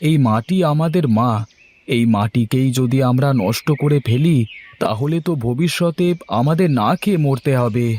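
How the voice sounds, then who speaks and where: A man's voice: medium pitch, measured pace, a little mellow, lightly acted. A kind, principled village farmer from a cartoon played by a man. He is humble and poor, and he speaks in a hesitant, earnest way, easing into his phrases with small filler sounds. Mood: earnest, reluctant. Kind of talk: cartoon dialogue acting.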